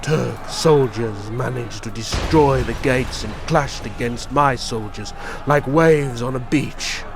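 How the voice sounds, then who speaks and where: Man playing Vlad, a slow, gravelly warrior prince. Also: mid-range voice, music in the background. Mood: solemn, steady. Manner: grave, measured, formal storytelling. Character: Vlad, male vampire prince solemnly recounting his past. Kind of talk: dramatic first-person audio drama narration.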